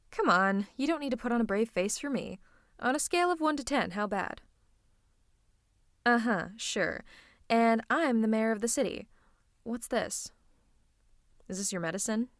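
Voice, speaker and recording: A woman's voice: on the high side, no room sound, clean studio sound. A woman playing a bossy, teasing villain who is secretly fond of her hero nemesis, in a dramatic ASMR roleplay. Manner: dramatic, teasing, mock-haughty, fussing.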